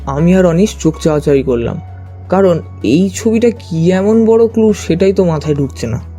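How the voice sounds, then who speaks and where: A man's voice: sits high, lively intonation, moderate pace, dark tone, slightly rough, faint music behind. A man narrating a story dramatically in the first person.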